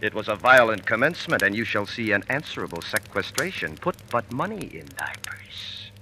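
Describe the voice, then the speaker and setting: An actor playing a two-faced military ensign, a sly, insistent villain, in old radio Shakespeare style. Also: male voice, fairly low pitch, sing-song, moderate pace, sharp tone, a little hiss or hum, heavily acted, low-quality recording. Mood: sly goading. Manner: sly, insistent, theatrical cajoling. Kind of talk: 1940s radio Shakespeare acting. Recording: old radio broadcast with music.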